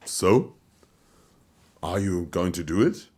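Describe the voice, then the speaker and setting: Man acting a solemn boss guy in an audio drama, deep voice, drags every word out. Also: animated, darkish tone. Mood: stern. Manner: solemn, slow, deliberate. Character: grave male leader sending a hero on a mission.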